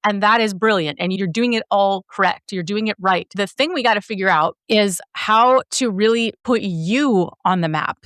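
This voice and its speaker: A woman's voice: mid-range voice, normal speed, few pauses, fairly bright tone, no room sound, studio quality. A middle-aged woman coaching a guest, talkative and encouraging, explaining with examples. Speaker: business coach and host. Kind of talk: coaching conversation.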